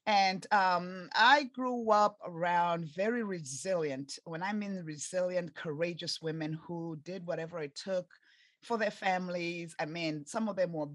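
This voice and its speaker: A woman's voice: on the low side, measured pace, dry recording. A woman in her forties with an East African accent, earnestly telling her life story as a podcast guest. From Tanzania and Zambia. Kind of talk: interview storytelling.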